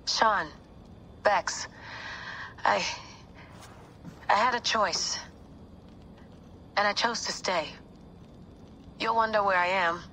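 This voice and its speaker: Game role: a resolute young woman saying goodbye, bright tone, slow and earnest. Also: mid-range voice, a little hiss or hum, poor audio. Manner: earnest, steady, halting. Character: resolute young woman leaving a farewell message. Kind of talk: video game cutscene acting.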